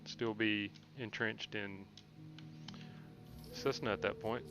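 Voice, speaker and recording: A man's voice: lowish voice, fairly even intonation, takes it slowly, music in the background. A man giving casual commentary on his own video game playthrough, often muttering asides to himself. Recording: clearly noisy background.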